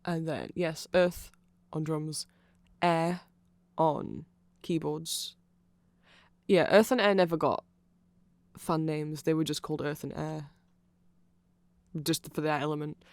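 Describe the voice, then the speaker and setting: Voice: medium pitch, slow, no echo. A person giving a casual, rambling ASMR explainer in a nerdy, chatty, self-correcting way, like an enthusiastic fan talking to the listener. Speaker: host explaining band lore to the listener.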